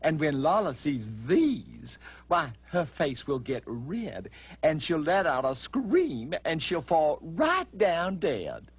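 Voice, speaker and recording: A man voicing a vain, jealous, excitable young female bird in a children's storybook, in a shrill, dramatic way. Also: takes it slowly, slightly rough, no echo, exaggerated voice. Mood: smug. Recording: music behind.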